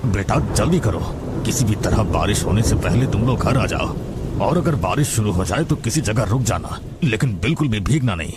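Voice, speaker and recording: A man's voice: lowish voice, animated, normal speed, heavy background noise, lightly acted. A man voicing an elderly village landlord, a kindly, worried grandfather who speaks earnestly in a horror cartoon. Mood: worried, urging.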